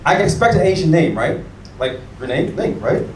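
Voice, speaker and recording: An American man doing a stand-up comedy set on a club stage, animated and chatting with the audience. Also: fairly high pitch, a little fast. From United States. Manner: animated, mimics locals and chats with the audience. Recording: echoey room taped from far off, crowd noise.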